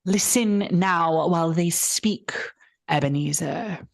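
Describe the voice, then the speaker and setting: An actor playing a grave, relentless ghost in a vintage-style radio drama, speaking in a solemn, measured way. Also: male voice, fairly high pitch, creaky, no echo, clean studio sound. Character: solemn, relentless ghost showing a miser his past.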